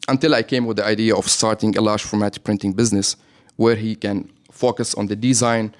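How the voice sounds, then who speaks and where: This is a man with a Lebanese accent and a fairly low voice. He is a business owner answering questions in a radio interview. He keeps checking in with his listeners by adding "right?" to his points. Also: steady tone, fairly quick. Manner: explains with frequent "right?" tags.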